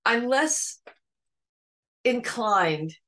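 A middle-aged woman singer-songwriter, a guest telling stories in a casual video-call interview, animated with a deep voice. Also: drawn-out words. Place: home room with red armchair.

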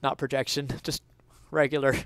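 A man's voice: medium pitch, flat delivery, moderate pace, fairly bright tone, dry recording. A young man giving a dramatic reading of an essay in a theatrical, mock-indignant, emphatic manner on a headset mic. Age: young adult. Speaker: streamer reading an article aloud. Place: small indoor room at home.

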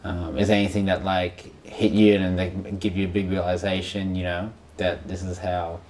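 A man's voice: deep voice, flat delivery, normal speed, a little mellow, a little hiss or hum. Rambling young man hosting a podcast. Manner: rambling, full of "like" and "you know". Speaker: podcast host, filmmaker. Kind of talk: casual podcast conversation. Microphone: podcast mic on boom arm.

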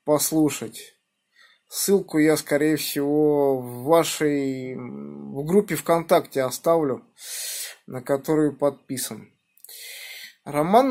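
A middle-aged man with a slightly high voice speaks slowly and deliberately, like someone on a ham radio contact. He sounds encouraging and measured, recorded indoors on a phone. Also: darkish tone. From Moscow region, Russia. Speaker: amateur radio operator. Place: indoors at a home radio desk by a window. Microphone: phone filming the radio.

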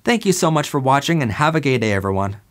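A young man hosting a scripted history segment, presenting earnestly in a studio with lively hand gestures. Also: mid-range voice, quick pace. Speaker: host. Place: indoor studio before a flag backdrop.

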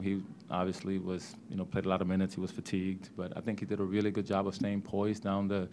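A middle-aged man, a head basketball coach, answering postgame questions at a press conference table microphone, measured and restarting words as he thinks. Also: sits low, a little mellow, slight background noise. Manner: measured, repeats words when starting sentences. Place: press room.

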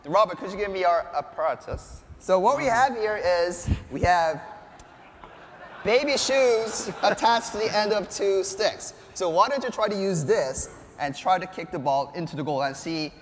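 A man's voice: high voice, normal speed, slight background noise. An enthusiastic man in his thirties giving a live keynote tech demonstration as a presenter on a large stage. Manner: enthusiastic, animated showman. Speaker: presenter, robotics researcher. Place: large conference stage, indoors. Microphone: lapel mic. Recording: echoey hall with long reverb.

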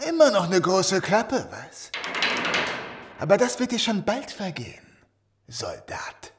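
A man's voice: sits high, huge pitch swings, slow pace, very noisy, full character voice. An actor playing a menacing, sneering looter henchman in an audio drama, issuing threats. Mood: sneering menace.

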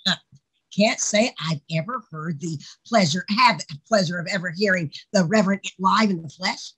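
A woman's voice: lowish voice, normal speed, a bit bright, husky, low-quality recording. A woman playing a chatty, sardonic secretary with folksy endearments in an online play reading. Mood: chatty, wry. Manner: folksy, sardonic, calls people hon and sweetie. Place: indoors on a video call. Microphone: video call microphone.

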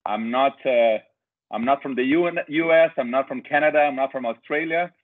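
A man's voice: mid-range voice, a little fast. A middle-aged man from Brazil with a Brazilian accent, presenting a webinar over a video call with hesitant restarts and filler uhs. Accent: Brazilian Portuguese. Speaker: company director presenting a webinar. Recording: tinny phone-like video call audio.

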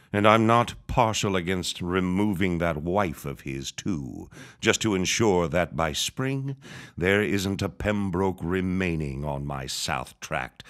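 A deep, slow, villainous old reverend. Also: male voice, exaggerated voice. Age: older. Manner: deliberate, menacing, grandiloquent. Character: scheming, vengeful old reverend and villainous landowner. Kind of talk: period audio drama acting.

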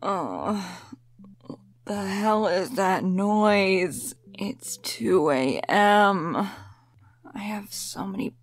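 Give me a woman playing a fierce, slow-talking, irritable young woman. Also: mid-range voice, expressive, dry recording. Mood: weary irritation. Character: fierce, foul-mouthed, irritable young woman in comic dub. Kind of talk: animated comic-dub voice acting.

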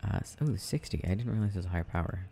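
A man murmuring haltingly to himself in an ASMR style as he rambles while playing a video game. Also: deep voice, expressive, gravelly, dark tone, a little hiss or hum. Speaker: audio performer playing a boyfriend gaming.

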